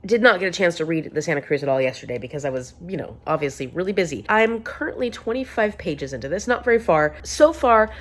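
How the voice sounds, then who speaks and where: A woman's voice: on the low side, expressive, fast talker, hardly any pauses. A woman vlogging casually to camera, chatty and upbeat, a little congested with a sore throat. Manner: chatty, upbeat, rambling with restarts. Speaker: book vlogger.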